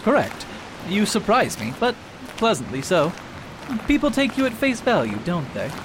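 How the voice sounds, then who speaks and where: A man's voice: sits high, huge pitch swings, normal speed, background noise. An actor playing a confident male doctor-detective in a radio drama, pompous and precise in manner. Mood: pleased, knowing.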